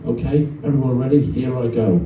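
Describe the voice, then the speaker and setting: A man's voice: medium pitch, brisk, mellow, lightly acted. A man voicing a cheeky, determined schoolboy troublemaker, eager and brazen, from a children's storybook. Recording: roomy distant event recording, faint noise.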